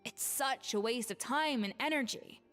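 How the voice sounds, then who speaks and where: A woman's voice: on the high side, moderate pace, fairly bright tone, in a large hall, faint music behind, natural voice. An actress playing a haughty, exacting woman chef in a video game, theatrical and disdainful. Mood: puzzled, dismissive. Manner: theatrical, disdainful, self-assured.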